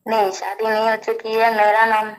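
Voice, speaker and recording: A woman's voice: fairly high pitch, flat delivery, moderate pace. A woman from Delhi talking on a phone call, insistent and repetitive, answering in short plain sentences. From Delhi, India. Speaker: caller seeking film work. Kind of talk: phone conversation.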